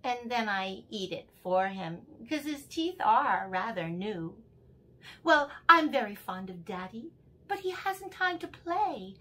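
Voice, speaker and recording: A woman's voice: on the high side, very animated, takes it slowly, bright, forceful tone, heavily acted. A woman in her sixties voicing a fond, earnest little boy in a storybook poem, in a singsong, confiding way.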